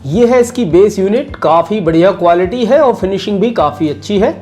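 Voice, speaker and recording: A man's voice: high-pitched, animated, fairly quick, darkish tone. A man narrating a step-by-step product review in an explanatory way, repeating his points. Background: background music.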